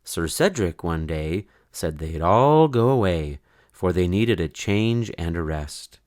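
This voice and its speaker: A man reading a children's story in verse aloud, with an expressive, measured, sing-song delivery. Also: sits low, dry recording, studio quality. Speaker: storybook reader.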